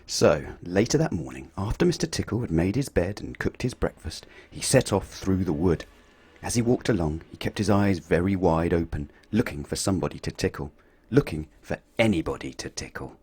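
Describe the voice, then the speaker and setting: A man reading a picture book aloud to children, playful and expressive, swinging his voice up and down for effect. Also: moderate pace, slightly rough. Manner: expressive, playful storytelling with big swings in pitch and loudness.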